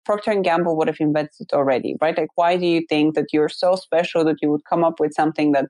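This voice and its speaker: A woman's voice: low voice, steady tone, fast talker, mellow, dry recording. A woman from Bulgaria speaking as an interview guest, telling her personal story in an articulate, reflective way. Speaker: interview guest, company founder.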